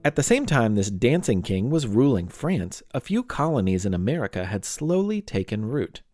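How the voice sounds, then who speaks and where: A man's voice: medium pitch, big pitch swings, moderate pace, warm tone, dry recording, faint music behind. A man narrating scripted history as a podcast host, with playful, wry asides.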